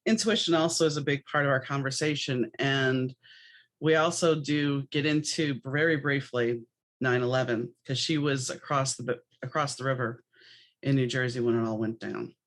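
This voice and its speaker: A woman's voice: rumbling low, steady tone, moderate pace. A woman podcast host talking in a conversational, chatty, anecdotal way.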